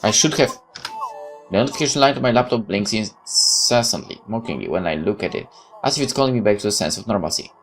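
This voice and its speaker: Low-voiced man reading game, lively pitch swings. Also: fast talker, faint music behind. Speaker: gaming video host. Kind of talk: reading game text aloud with casual commentary.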